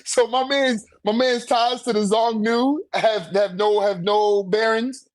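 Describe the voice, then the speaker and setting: A man's voice: high voice, fairly even intonation, a little slow, no echo. A man in a casual, argumentative debate, talking animatedly with heavy slang and swearing. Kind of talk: casual slangy debate about manga rankings.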